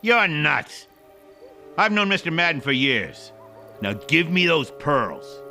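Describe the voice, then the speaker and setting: An actor playing a brash small-town American constable, blunt and blustering. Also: male voice, a little high, sing-song, takes it slowly, over music, heavily acted. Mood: gruff, bossy. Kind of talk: old detective film radio dramatisation.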